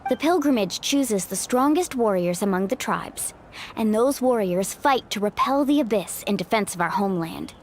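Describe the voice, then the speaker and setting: A woman's voice: medium pitch, lively intonation, moderate pace, slight background noise, lightly acted. A woman voicing a confident, encouraging young woman in a game, upbeat and reassuring. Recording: game audio with faint music.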